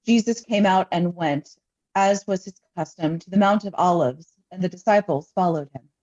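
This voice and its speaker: A woman reads scripture as a pastor would, in a solemn liturgical style with measured, deliberate phrasing. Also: fairly low pitch, darkish tone, muffled recording.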